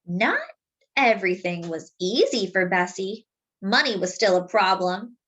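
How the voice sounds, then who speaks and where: A woman reading a picture book aloud as a storyteller, with deliberate, dramatic phrasing and words drawn out for emphasis. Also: medium pitch, expressive, a bit bright. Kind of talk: expressive children's read-aloud narration.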